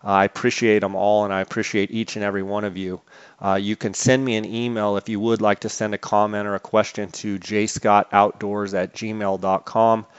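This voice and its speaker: Male podcast host, low even voice, conversational delivery, slightly lo-fi sound. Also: a little fast, smooth.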